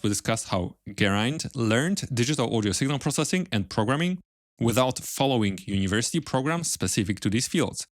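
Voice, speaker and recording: A man speaks as a podcast host, moving between scripted presenting and interview questions. His voice sits at a middle pitch, recorded close and dry with very little room sound. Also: no echo.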